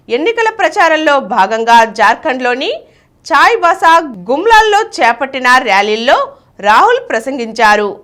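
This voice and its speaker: A woman news reader with a high voice and lively, swinging intonation. She reads scripted bulletins formally and enunciates each phrase clearly.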